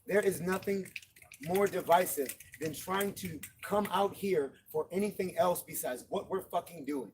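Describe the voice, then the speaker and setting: A man's voice: sits high, measured pace, slight background noise. Fired-up man at a night street protest. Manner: profane, emphatic, repeats "period". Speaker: protest marcher. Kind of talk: impassioned street speech.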